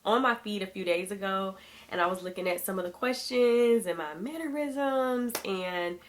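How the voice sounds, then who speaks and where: A woman's voice: mid-range voice, normal speed. A thirty-year-old woman vlogging to camera in a chatty, casual way, her throat a little sore as she gets over being sick. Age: 30s. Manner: chatty, addresses viewers as y'all. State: recovering from illness, sore throat.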